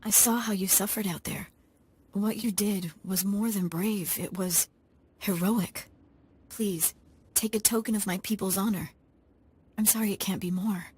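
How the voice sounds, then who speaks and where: Dignified matriarch, gentle, formal, earnest, mid-pitched. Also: female voice, fairly even intonation, unhurried, husky. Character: dignified young woman leader, newly matriarch. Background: game score music.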